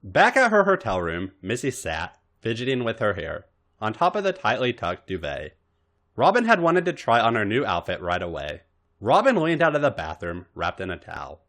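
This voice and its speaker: A man's voice: fairly low pitch, wildly swooping pitch, moderate pace, a bit bright. A man hosting a podcast, reading a piece of fan fiction aloud as dramatic story narration.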